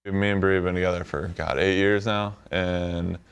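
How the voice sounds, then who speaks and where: A man's voice: low-pitched, fairly even intonation, moderate pace, slight background noise. A man in his twenties with an American accent, a college athlete answering casually in an interview. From Savannah, Georgia. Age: 20s. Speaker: college basketball player.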